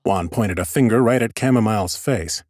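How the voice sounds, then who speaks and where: A man's voice: fairly low pitch, moderate pace, a little mellow, no echo. A man narrating scripted first-person fantasy audiobook prose with wry understatement, close on a studio mic. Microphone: close studio microphone.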